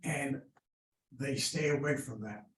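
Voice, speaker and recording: A man in the audience sharing a tip, hesitant, with pauses. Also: medium pitch, a bit bright, slight background noise. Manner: slow, hesitant. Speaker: audience member. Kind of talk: question from the floor. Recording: distant, echoey room.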